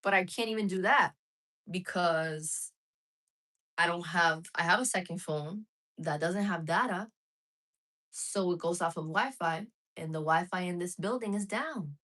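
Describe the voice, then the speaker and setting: Woman streamer, frank and casual, slightly low voice. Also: a little slow, fairly bright tone. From United States. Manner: chatty, frank, answers chat messages as she goes. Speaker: live streamer. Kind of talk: casual live chat with viewers.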